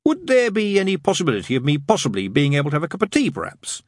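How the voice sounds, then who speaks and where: A man's voice: mid-range voice, big pitch swings, fairly quick, fairly bright tone, breathy edge, no echo, studio quality, voice pushed up. A man voicing a mild, hesitant, puzzled father, an accountant who talks politely and doubtfully, in an audiobook story. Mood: timid request.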